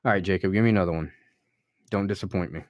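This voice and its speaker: A man's voice: sits low, rapid-fire, no room sound, lo-fi sound. A man co-hosting a podcast in casual, joking banter, teasing and playfully bossy. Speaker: podcast co-host.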